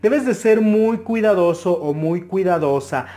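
A man with a Mexican Spanish accent reads tarot cards for his viewers in an earnest, advising way. His voice sits a little high for a man, and he often pauses with hesitations. He is recorded close to the mic at a table indoors. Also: lively intonation, mellow. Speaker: tarot reader. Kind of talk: interactive tarot reading to camera.

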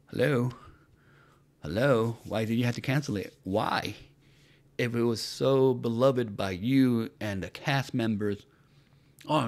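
Gravelly, sarcastic male commentator. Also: medium pitch. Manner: sarcastic, repetitive rhetorical questions. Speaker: commentary channel host. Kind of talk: mocking commentary on entertainment news.

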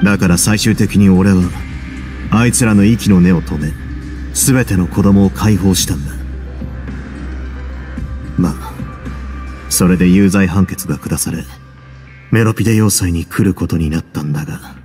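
Man acting a low-voiced, composed hardened man. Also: music in the background, close to an everyday voice. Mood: grim, restrained. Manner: measured, cool, matter-of-fact. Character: composed, hardened man with a grim past, coolly self-assured. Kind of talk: dramatic game dialogue, recounting his past.

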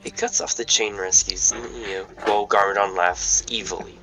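A man's voice: fairly low pitch, fairly even intonation, moderate pace, over music, rough recording. A man narrating stage directions in an amateur table read, plain and matter-of-fact.

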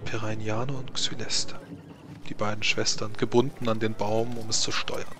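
Measured male game master, noisy background. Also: lowish voice, level tone. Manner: measured, pausing between short phrases. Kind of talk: improvised atmospheric game narration.

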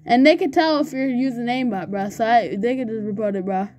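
A woman chatting excitedly while playing an online game with a friend, laughing often and calling everyone bro. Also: fairly high pitch, fairly quick, slight background noise. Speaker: gamer recording gameplay. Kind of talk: excited gaming banter with a friend.

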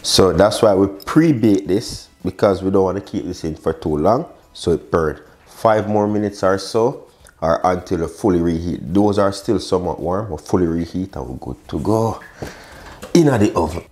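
Deep-voiced man hosting a cooking video. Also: moderate pace, a little mellow. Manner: casual, chatty, addresses viewer with short exclamations. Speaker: cooking show host, chef. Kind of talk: casual step-by-step recipe demonstration. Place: indoor modern home kitchen.

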